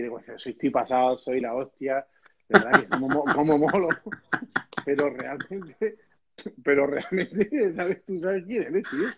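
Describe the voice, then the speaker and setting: A slightly husky man from banters on a home live stream. He teases his friend with mock-serious jabs and reads comments aloud. The audio is lo-fi and somewhat dull, as if through a phone or laptop. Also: a little high. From Madrid, Spain. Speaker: percussionist, live stream co-host. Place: indoors, small room at home. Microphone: phone or laptop camera, video call.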